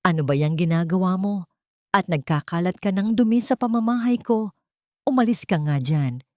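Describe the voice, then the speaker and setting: Woman playing a cold low-voiced old matriarch. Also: animated, normal speed, warm tone, no room sound, clean studio sound, lightly acted. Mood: cold, scolding. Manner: commanding, scolding.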